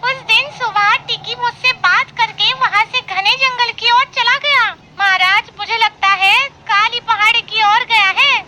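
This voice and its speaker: A performer voicing a sly, mocking, falsely servile male fox villain in an animated moral story, over a noisy background. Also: extremely high pitch, measured pace, hardly any pauses. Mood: evasive pleading.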